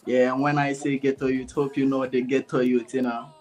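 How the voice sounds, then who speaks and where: A man's voice: medium pitch, level tone, fairly quick, darkish tone, soft background music. A young man from Ghana with a Ghanaian accent mixed with Jamaican patois. He talks in a casual interview style, keeps asking himself "what?" and answering it, and says "you know" all through. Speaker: dancehall artist, guest. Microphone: remote video call.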